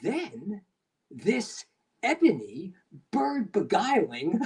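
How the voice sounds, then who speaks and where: A woman giving a theatrical dramatic recitation of poetry, with halting, drawn-out phrasing and heavy pauses. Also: medium pitch, very animated, a little mellow, slightly rough. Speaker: performer at a live dramatic reading.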